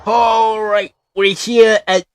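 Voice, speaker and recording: A man from Malaysia reacting on a game livestream in loud, playful outbursts. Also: sits high, little pitch movement, takes it slowly, clean tone, dry recording. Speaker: game streamer.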